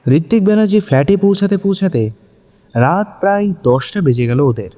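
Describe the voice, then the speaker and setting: A man's voice: high-pitched, animated, a little fast, dark tone. A man narrating a scripted story in a dramatic style, as in an audio drama. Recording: long hall reverb on voice. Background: music.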